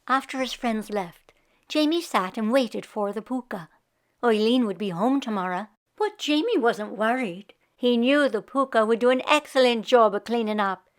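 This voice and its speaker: Female children's storyteller, expressive read-aloud narration, slightly high register.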